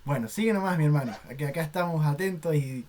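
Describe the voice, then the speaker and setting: A man's voice: a little high, fast, slight background noise. A man on a church video call, welcoming the guest and answering the prayers with frequent amens. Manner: warm and affirming, frequent amens. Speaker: church member welcoming the speaker.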